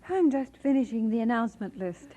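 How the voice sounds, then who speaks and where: Poised woman, slightly high voice. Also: expressive, a little fast, dark tone. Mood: curious. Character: poised, gently teasing women: church secretary, pastor's bride, narrator. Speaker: actress in an audio drama. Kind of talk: dramatised radio-play acting.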